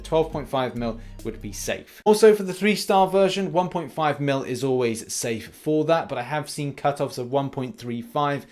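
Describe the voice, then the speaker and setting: A man's voice: fairly high pitch, a little mellow. Man hosting gaming news over music. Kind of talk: gaming news commentary, part read, part off-the-cuff. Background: background music.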